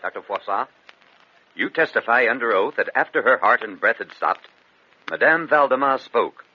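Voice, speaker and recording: An actor playing a judge questioning a witness in a gothic radio drama, formal and probing. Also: male voice, mid-range voice, animated, moderate pace, natural voice. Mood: stern inquiry. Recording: dull noisy radio recording.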